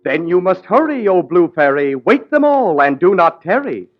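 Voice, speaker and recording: A man's voice: sits high, sing-song, moderate pace. A man narrating in mock-grand, theatrical storybook tones in 1940s radio comedy. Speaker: announcer. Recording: dull 1940s radio broadcast with music.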